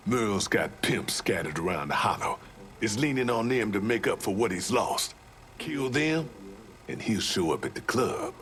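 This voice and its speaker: Embittered Southern underworld ally, fairly deep, animated pitch, salty drawl, video game cutscene. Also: male voice, normal speed. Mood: scheming.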